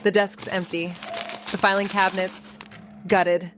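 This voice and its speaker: An actress playing a reckless amateur sleuth who narrates her own noir story in a wry, deadpan, atmospheric way. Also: mid-range voice, a little slow, heavy background noise, lightly acted. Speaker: actor narrating a podcast drama. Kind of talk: first-person noir narration and dialogue.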